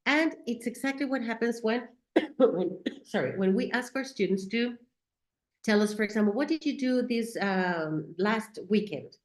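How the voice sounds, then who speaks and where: A woman's voice: a little high, lively intonation, unhurried. A woman whose first language is Spanish, speaking English with a Spanish accent as she gives a talk on teaching, often checking in with "right?" and "okay?". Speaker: teacher trainer. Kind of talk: educational talk. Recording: echoey room.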